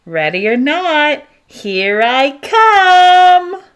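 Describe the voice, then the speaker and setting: An eager, naive young puppy , voiced by a woman, tells its own picture-book story. The voice is a little high and swings widely in pitch, childlike and exuberant, with slow pacing for small listeners. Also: very animated, fairly bright tone, dull audio, exaggerated voice. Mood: excited, eager. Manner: exuberant, wide-eyed, childlike. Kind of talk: storybook read-aloud.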